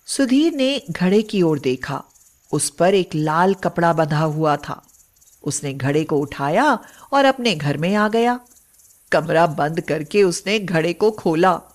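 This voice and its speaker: Slow-paced woman narrating a story. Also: on the low side, lively intonation. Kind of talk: scripted narration of Hindi moral bedtime stories.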